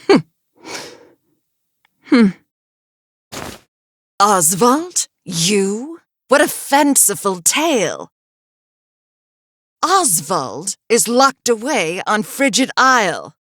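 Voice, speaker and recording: Slow, theatrical scholar's assistant. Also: female voice, mid-range voice, big pitch swings, fairly bright tone, no room sound, heavily acted. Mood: suspicious. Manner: formal, deliberate, theatrical. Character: loyal, skeptical, theatrical female scholar's assistant. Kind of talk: dramatic video game voice acting.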